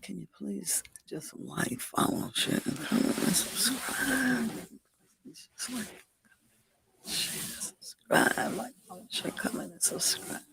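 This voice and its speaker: A hoarse, raspy-throated host of a casual livestream show, repeating station call-outs and coaxing viewers to like and subscribe. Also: medium pitch, animated, slow, a bit bright, rough recording.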